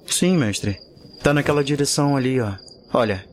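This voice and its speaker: An actor playing a polite, earnest young hero apprentice in a fantasy audio drama. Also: male voice, mid-range voice, normal speed, a little hiss or hum, lightly acted. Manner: polite, deferential. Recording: night ambience with crickets behind. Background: crickets, night insects.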